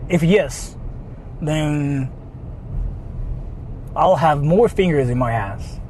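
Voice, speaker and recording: A man's voice: a little high, animated, extremely slow, darkish tone. A man with a Japanese accent, a native Japanese speaker, chatting casually and in a rambling, repetitive way as he livestreams from his car. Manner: rambling, repeats himself, crude joking. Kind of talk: casual livestream chat, reading donations. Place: inside a car while driving. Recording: noisy moving car interior.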